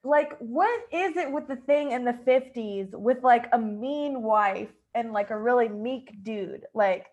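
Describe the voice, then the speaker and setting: Woman host, kinda high voice, slangy casual talk w a group. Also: unhurried, few pauses, a little mellow. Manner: informal, slangy. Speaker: podcast host leading a group discussion.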